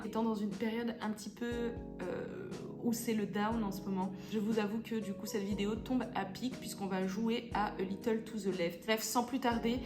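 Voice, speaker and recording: A young woman from France giving casual let's-play commentary, chatty and hesitant with many fillers and English phrases dropped in. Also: mid-range voice. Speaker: gaming YouTuber. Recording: echoey room with background music. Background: game music.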